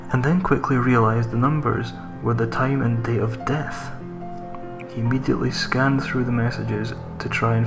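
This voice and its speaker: Guy reading a creepypasta, gravelly fry in his voice, music playing underneath. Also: mid-range voice. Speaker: creepypasta narrator. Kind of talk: scripted horror story narration.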